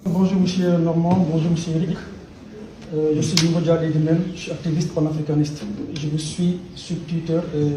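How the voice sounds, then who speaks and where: A man's voice: a little high, little pitch movement, normal speed. A man speaking as a pan-African activist from the floor of a debate, insistent and lecturing, often stumbling and repeating words. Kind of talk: impassioned audience remarks in a debate. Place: conference hall. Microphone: far from the microphone. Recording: echoey hall from far off, faint noise.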